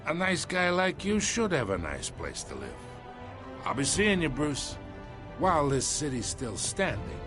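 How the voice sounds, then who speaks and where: Middle-aged mob boss, menacing, folksy slang, widely swinging pitch, game drama, music underneath. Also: male voice, very animated, a little slow. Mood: veiled menace. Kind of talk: video game dramatic acting.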